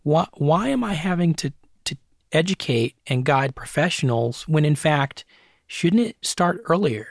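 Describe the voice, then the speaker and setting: A middle-aged man with a gravelly, textured voice gives considered interview answers about his work. He restarts phrases and checks in with "right?" as he goes. The sound is close and dry, with no room around it. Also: a little high, lively intonation, unhurried, no room sound. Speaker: guest, advertising strategist and academic.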